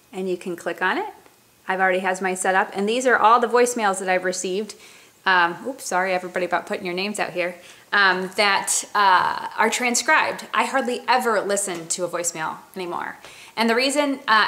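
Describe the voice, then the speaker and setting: A woman's voice: mid-range voice, pushed and bright. A woman in her thirties chatting casually to a webcam in her home kitchen, walking through a product with plenty of fillers and restarts. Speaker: company representative. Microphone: webcam at close range.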